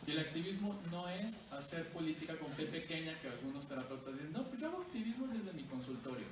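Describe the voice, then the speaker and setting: A man's voice: on the high side, lively intonation, fast. A man giving a discursive academic talk at a book presentation, often adding tag questions. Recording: echoey distant room recording with noise.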